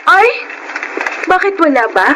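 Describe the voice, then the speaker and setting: High-pitched feisty schoolgirl, noisy audio. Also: sing-song, a little slow, heavily acted. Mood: taunting, defiant. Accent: Filipino. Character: feisty schoolgirl daughter, cheeky, competitive, quick-tempered. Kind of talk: emotional Filipino TV drama acting.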